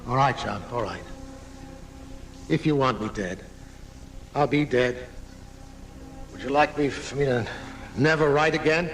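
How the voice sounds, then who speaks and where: A man's voice: medium pitch, measured pace, pauses often, lightly acted. An actor playing an aging famous writer in a live radio drama, telling his story in a florid, dramatic way. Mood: pleading, resigned. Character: aging famous male writer recounting his own murder, theatrical. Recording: noisy 1980s off-air radio recording.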